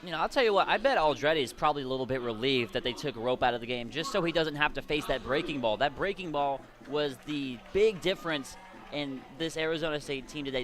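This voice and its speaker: A man's voice: on the high side, a bit bright. A man giving conversational analysis as a color commentator on a live baseball broadcast.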